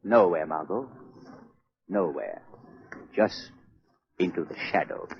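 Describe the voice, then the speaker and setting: A man acting a shrewd, self-possessed gentleman sleuth in a 1930s radio drama, speaking in a deliberate, commanding way. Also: low-pitched, expressive, gravelly, full character voice. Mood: resolute, confiding. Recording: muffled 1930s radio recording.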